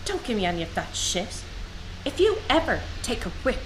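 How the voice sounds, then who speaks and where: A fiery, protective young horsewoman in a radio drama. She is blunt and confrontational, with very lively pitch. Some noise sits behind her voice. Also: moderate pace, rough recording. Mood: angry, threatening. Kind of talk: naturalistic radio drama acting.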